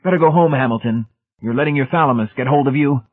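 A man's voice: mid-range voice, fast, phone-quality sound, voice pushed up, natural voice. A man voicing a smug young male zealot technician in an audiobook, pompous, declamatory and sanctimonious. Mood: triumphant, incredulous.

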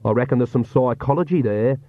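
A man's voice: medium pitch, a little fast, no room sound, narrow, tinny audio, close to an everyday voice. A man voicing a blunt, no-nonsense young woman who grumbles in exasperation. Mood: exasperated.

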